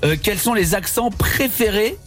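Playful southern French radio host. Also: male voice, high-pitched, expressive, moderate pace, fairly bright tone. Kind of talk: lively radio banter.

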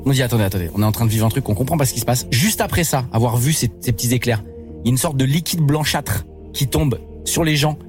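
Animated male radio co-host. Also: medium pitch, quick pace, soft background music. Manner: animated storyteller, playful. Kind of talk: radio banter and storytelling.

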